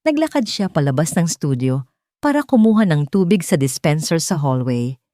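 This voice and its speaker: A woman's voice: sits low, big pitch swings, moderate pace, mellow, no room sound, studio quality. A woman reading a scripted, dramatic love story as its narrator. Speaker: narrator of romance stories.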